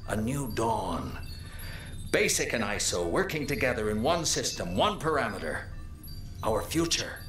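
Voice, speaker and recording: An actor playing an earnest, ambitious male computer program in a video game, speaking a reflective, dramatic private log. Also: medium pitch. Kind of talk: video game audio diary monologue. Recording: echoey, with music behind.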